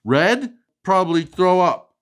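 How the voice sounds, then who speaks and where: A woman reading a storybook aloud to a young child in an expressive, sing-song way. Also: on the low side, takes it slowly. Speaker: storybook reader.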